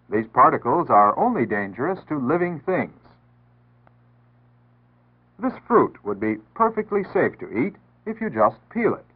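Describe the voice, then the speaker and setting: A man's voice: medium pitch, husky. An American man reads a scripted 1960s public service announcement in an earnest, instructive, formal way. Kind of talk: 1960s scripted civil defense announcement narration. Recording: tinny band-limited 1960s radio-style archive audio.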